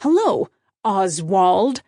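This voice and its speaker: A woman voicing a sharp, controlling female publicist with a snappy, commanding manner in a storybook. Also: medium pitch, big pitch swings, very slow, no echo, studio quality, full character voice. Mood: sharp, hostile.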